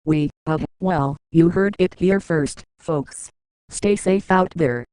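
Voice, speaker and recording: A smooth, mellow, slightly low-voiced female news anchor, played by a woman, with polished delivery. The sound is dry and close. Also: slow, no echo. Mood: composed.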